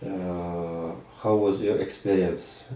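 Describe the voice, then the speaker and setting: A man, his voice very deep and slow, interviewing with hesitant, halting questions full of fillers. Recorded from a distance in a roomy space, with faint room noise. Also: fairly even intonation, mellow. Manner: halting, many fillers and restarts.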